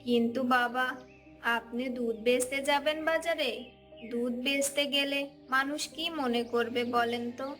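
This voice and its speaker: Here is a woman acting a young, dutiful daughter-in-law from a cartoon village story, caring and respectful. The voice sits fairly high, with music clearly audible behind. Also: fairly even intonation, unhurried, fairly bright tone. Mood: worried. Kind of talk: cartoon folk tale dialogue.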